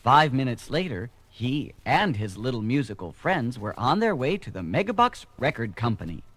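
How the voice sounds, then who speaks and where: Animated male children's storyteller. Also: medium pitch, moderate pace. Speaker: narrator. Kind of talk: scripted children's story narration.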